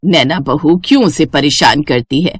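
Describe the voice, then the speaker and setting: Woman as older cartoon mother-in-law, bossy peacemaker, dropped lower voice, chiding delivery. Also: expressive, normal speed, slightly rough, no room sound, clean studio sound. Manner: instructing, chiding.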